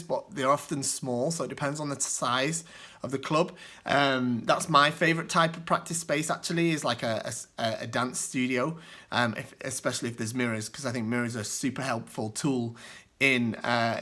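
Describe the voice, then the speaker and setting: A man hosting a casual solo video, answering viewer questions. His voice is hoarse from a cold, and he explains things at length with many asides. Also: medium pitch, pushed and bright. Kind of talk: casual solo vlog talk.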